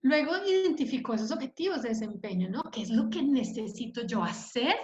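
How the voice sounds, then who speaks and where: A woman's voice: on the high side, expressive, fairly quick, darkish tone. A woman presenting a live online training webinar, explaining step by step, checking in with her audience and using some fillers. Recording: echoey, dull lo-fi online audio.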